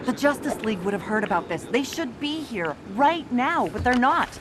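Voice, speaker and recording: A woman voicing a serious, sharp-minded investigator in a video game, earnest and matter-of-fact, with noise behind her. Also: fairly high pitch, very animated, moderate pace. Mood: resolute. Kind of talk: animated video game acting.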